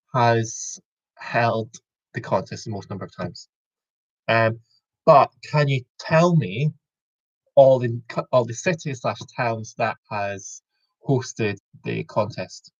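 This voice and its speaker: A young Scottish man hosting a quiz over a video call, often stopping mid-sentence to correct himself and throwing in jokes. His voice sits at an everyday middle pitch, and the audio sounds dull and slightly lo-fi. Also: slightly rough. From Scotland. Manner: rambling, self-correcting, jokey. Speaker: quiz host. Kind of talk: casual online quiz hosting.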